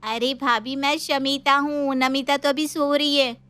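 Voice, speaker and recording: Woman as a high, teasing young twin sister. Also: little pitch movement, measured pace, sharp tone. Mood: playful.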